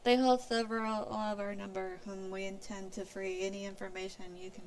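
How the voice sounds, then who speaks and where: A woman's voice: medium pitch, little pitch movement, brisk, a little hiss or hum. A woman streamer reading fantasy game story dialogue aloud in a theatrical, formal delivery. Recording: music playing behind the voice.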